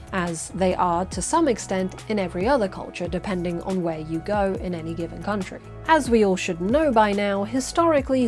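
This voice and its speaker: A woman's voice: medium pitch, a little mellow. A woman delivering a scripted film review in an earnest, opinionated way. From United Kingdom.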